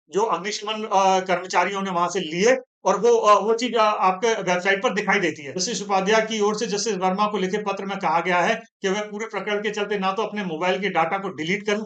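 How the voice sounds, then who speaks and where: A man doing online news commentary, talking straight to his viewers and often pausing on hesitation fillers while he explains a story. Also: high voice, fairly bright tone. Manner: addresses viewers as "comrades", frequent hesitation fillers; reads out long formal statements.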